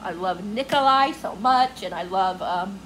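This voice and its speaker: A woman's voice: medium pitch, a little slow, a bit bright, a little hiss or hum, rough recording. A woman book vlogger chatting casually to viewers, gushing and rambling as she searches for words.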